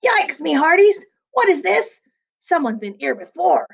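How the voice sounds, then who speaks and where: Woman doing a squealing, excitable pirate crew. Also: very high voice, a little slow, gravelly, pitched above the performer's own voice, full character voice. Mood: alarmed.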